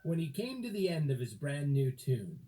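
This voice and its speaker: A woman reading a children's story aloud expressively, with dramatic, drawn-out phrasing. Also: deep voice, sing-song, background noise.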